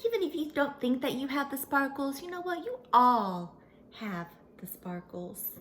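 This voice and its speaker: A woman's voice: high-pitched, expressive, moderate pace, a bit bright, slight background noise. A woman voicing a sweet, folksy little female pony, telling her story dramatically and earnestly to children. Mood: relieved, earnest.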